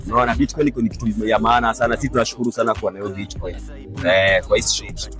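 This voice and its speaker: A man's voice: on the low side, rapid-fire. A man, talking enthusiastically in a street interview. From Kenya. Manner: lively, enthusiastic, mixing Swahili and English slang. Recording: music playing underneath.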